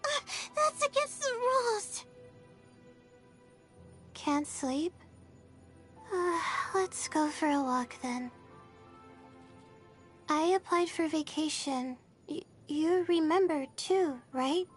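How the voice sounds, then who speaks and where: A very high-pitched, gentle student girl from a video game. She talks very slowly, sleepy and a little anxious, with music playing behind her. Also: lively intonation, heavily acted. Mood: distressed, then weary, gentle. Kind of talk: video game character voice lines.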